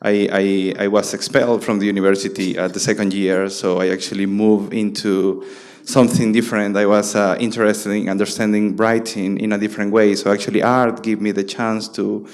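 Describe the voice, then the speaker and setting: A man in his late thirties from Peru, a curator speaking on a panel in a halting way, with many fillers and restarts. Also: lowish voice, flat delivery. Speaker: guest curator. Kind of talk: panel conversation.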